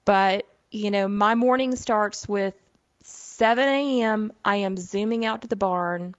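A middle-aged woman with a Southern US twang talks casually and folksily, often dropping in "you know." Her pitch sits in a middling range. The recording is dry and a little dull, with no room sound. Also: measured pace, no echo. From southern United States. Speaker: podcast host, psychic medium. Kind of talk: casual solo monologue.

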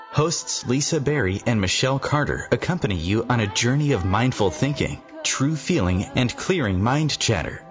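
A man announcing a talk show intro with smooth, measured delivery. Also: medium pitch. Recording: dull recording with music.

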